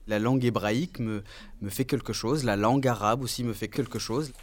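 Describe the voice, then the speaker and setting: A man's voice: mid-range voice, a little fast, a little hiss or hum. A man talking reflectively in an interview, correcting himself as he searches for the right phrase.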